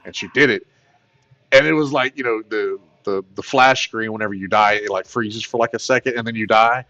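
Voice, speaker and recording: A man with a plain baritone voice, hosting a casual gaming livestream, rambling with many fillers and excited bursts. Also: brisk, highs cut off. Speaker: gaming channel host.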